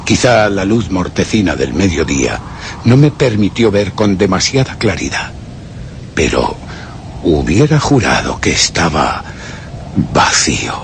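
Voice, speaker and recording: A man with a Castilian accent playing a haunted, obsessive gentleman who narrates a horror radio play in a grave, suspenseful, theatrical way. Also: low-pitched, big pitch swings, unhurried, slight background noise, heavily acted. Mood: stunned dread. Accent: Castilian Spanish. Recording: dramatic music behind the voice.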